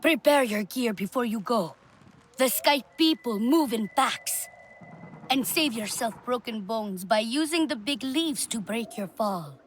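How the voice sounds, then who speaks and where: Bold alien warrior woman, higher voice, grave and formal, video game drama, ambience behind. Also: expressive, unhurried, a little hiss or hum. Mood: firm, instructing. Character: worried but bold Na'vi huntress, alien warrior.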